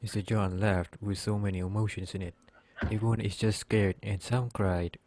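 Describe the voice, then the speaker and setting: Flat, low-voiced male narrator. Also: normal speed, dry recording. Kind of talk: scripted story narration.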